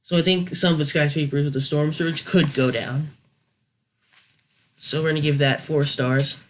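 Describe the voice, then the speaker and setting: A young man with a slightly high voice talks through a casual review. He sounds unscripted and a little hesitant, working out his opinions aloud as he speaks. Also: fairly even intonation, normal speed, slight background noise. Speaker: YouTube reviewer.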